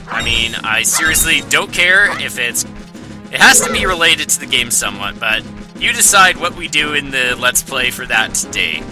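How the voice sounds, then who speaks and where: A man giving playful, sarcastic commentary while playing a video game. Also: on the high side. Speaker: let's play host. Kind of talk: jokey gameplay commentary. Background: game music.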